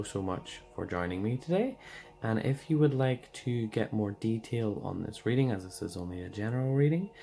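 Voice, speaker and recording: A man giving a casual tarot card reading, hoarse and losing his voice, rambling loosely with lots of fillers. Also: fairly low pitch, a little mellow.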